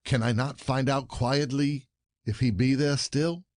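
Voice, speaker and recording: An actor playing an aggrieved, scheming husband in a classic stage farce, declaiming formally and indignantly. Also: male voice, medium pitch, fairly even intonation, measured pace, dry recording, studio quality, close to an everyday voice. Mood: brooding. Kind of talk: classic comedy audio play acting.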